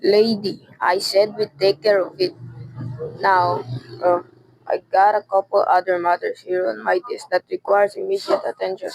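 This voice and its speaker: A teenage girl playing an unhurried, dismissive police desk sergeant in a radio suspense drama, offhand and patronizing. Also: mid-range voice, flat delivery, vocal fry, rough recording. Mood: dismissive, impatient.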